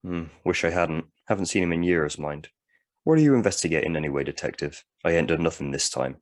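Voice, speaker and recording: A man acting in an audio drama as a surly, hardened convict, gruff and terse in his talk. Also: low-pitched, fairly quick, natural voice. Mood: surly, indifferent. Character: surly, hardened male long-term prisoner, convicted killer.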